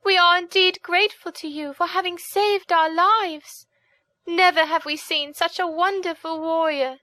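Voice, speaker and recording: A woman's voice: very high voice, fairly even intonation, a little slow, bright, forceful tone, no room sound, voice pushed up, heavily acted. A woman voicing grateful young captive princesses in a storybook, exclaiming effusively. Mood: grateful, admiring. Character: grateful captive princesses, young women praising their rescuer. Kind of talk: storybook character voice.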